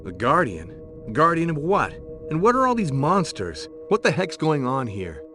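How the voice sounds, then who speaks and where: A man's voice: fairly high pitch, huge pitch swings, normal speed. A man acting a tough, exasperated, wisecracking private investigator in a video game scene, blunt and impatient. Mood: demanding, exasperated. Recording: game music behind.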